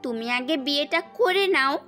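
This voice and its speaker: A woman plays an anxious young sweetheart in a romantic audio story. Her voice is high, tender and questioning, with music behind it. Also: lively intonation, moderate pace, a bit bright. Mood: questioning, worried. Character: devoted, anxious young fiancée pleading with her beloved. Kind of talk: romantic audio drama acting. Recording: music bed under the voice.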